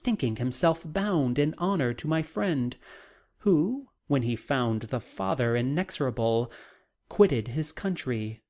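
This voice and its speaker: A narrator reading a classic novel aloud in a measured, formal way, as scripted audiobook narration. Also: mid-range voice, lively intonation, a little mellow.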